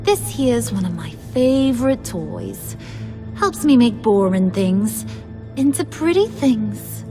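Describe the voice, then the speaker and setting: A woman's voice: a little high, big pitch swings, slow. An actress playing a bossy, possessive young female villain in a video game, taunting with playful menace. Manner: sing-song taunting, threatening. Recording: music under the voice.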